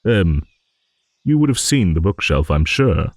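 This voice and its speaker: A man playing a gentle, courteous old dragon who rambles hesitantly in an improvised fantasy audio roleplay. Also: low-pitched, big pitch swings, no room sound, studio quality, heavily acted. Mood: bemused, modest. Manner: rambling, hesitant, genteel, with musing hums and pauses.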